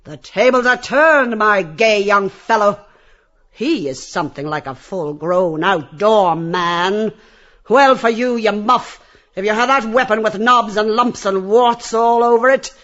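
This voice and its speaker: A man's voice: high-pitched, measured pace, a bit bright, muffled recording, pitched above the performer's own voice. A man voicing a cruel, jeering brothel boss who barks and taunts like an auctioneer in a theatrical audiobook. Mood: jeering, ruthless. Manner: taunting, bullying, theatrical barking. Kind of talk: theatrical audiobook character reading.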